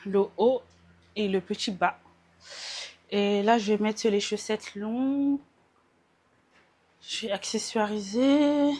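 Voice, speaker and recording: A woman's voice: medium pitch, steady tone, takes it slowly, pauses often, warm tone. A young woman from France vlogging casually into a small mic, chatting about her outfit and slipping English words into her French. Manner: chatty, mixes in English words, laughs at herself. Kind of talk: casual vlog chat. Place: at home getting ready, then out in the street and metro.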